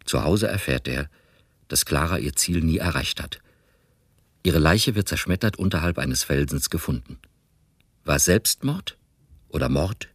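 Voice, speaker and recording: A man with an extremely low, husky voice narrates a crime story. He reads in a measured, dramatic way, recorded close and dry. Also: animated, fairly bright tone, no echo. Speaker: radio play narrator.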